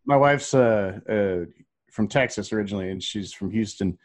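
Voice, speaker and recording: Man, podcast co-host, low voice, easygoing and hesitant. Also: normal speed. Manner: relaxed, hesitant, frequent fillers. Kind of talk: casual chat.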